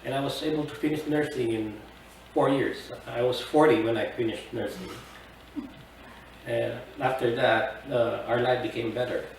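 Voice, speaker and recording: A man's voice: medium pitch, steady tone, creaky. A man in his fifties from the Philippines, recalling his life at an easy pace in a plain, matter-of-fact interview. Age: 50s. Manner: unhurried, plain-spoken recollection with fillers. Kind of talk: oral history interview. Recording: echoey room, distant mic, faint noise.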